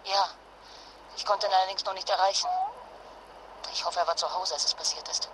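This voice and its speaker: Casting: female actor for determined fleeing mother, radio play, medium pitch, insistent and reassuring, noisy call. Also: fairly even intonation, brisk, natural voice. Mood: serious, worried. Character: protective mother fleeing a disaster with her son. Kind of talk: modern radio drama acting. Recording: clearly noisy phone connection.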